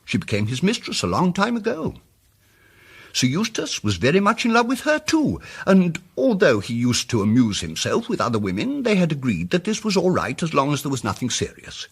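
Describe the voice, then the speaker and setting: A mild, apologetic amateur detective in a radio mystery, his fairly high voice rising and falling dramatically. He is hesitant, fussy and politely precise, with a husky edge. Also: wildly swooping pitch, moderate pace, heavily acted. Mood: delicate, grave.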